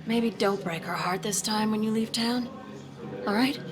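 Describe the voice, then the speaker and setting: A woman's voice: medium pitch, unhurried, music in the background, natural voice. An actress playing a protective small-town mother in a video game, pointed and restrained in her reproach. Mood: reproachful.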